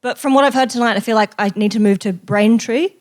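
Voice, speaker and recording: A woman's voice: fairly high pitch, fast, darkish tone. Chatty woman telling stories. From raised in Australia. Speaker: guest journalist and author. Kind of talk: casual spoken anecdote to an audience.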